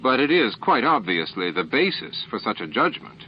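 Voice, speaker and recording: A man voicing a calm, formal, self-assured alien leader in an audiobook, condescending and baffled by others. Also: mid-range voice, moderate pace, highs cut off, a little hiss or hum, voice pushed up, close to an everyday voice. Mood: smoothly reasoning.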